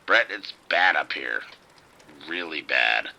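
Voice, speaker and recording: A man's voice: a bass voice, expressive, slow pace, gravelly, pushed and bright, heavily acted. An actor playing a frightened male police officer calling for help over the radio, urgent and swearing. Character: male police officer trapped in a casino outbreak. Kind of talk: audio drama acting.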